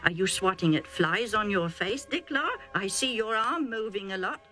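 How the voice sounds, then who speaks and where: A woman voicing a calm, firm, elderly blind male chieftain who speaks slowly. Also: mid-range voice, faint music behind, voice pushed up.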